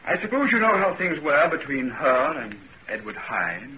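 A man acting a loyal, worried, bluff gentleman in a 1940s radio drama. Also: fairly high pitch, sing-song, normal speed. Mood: probing. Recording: dull, noisy old 1940s radio recording.

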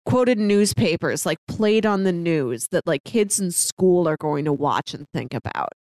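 A woman's voice: fairly low pitch, animated, moderate pace, a little mellow, no echo. A woman chatting casually about a film as a podcast co-host, often laughing lightly through her words.

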